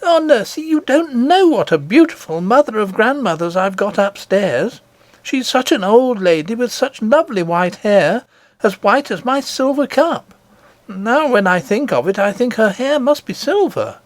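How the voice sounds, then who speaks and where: Man putting on an eager, high-pitched little princess girl. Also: sing-song, moderate pace, no echo. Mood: eager, earnest. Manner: eager, insistent, childlike. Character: earnest, indignant little princess girl of about eight.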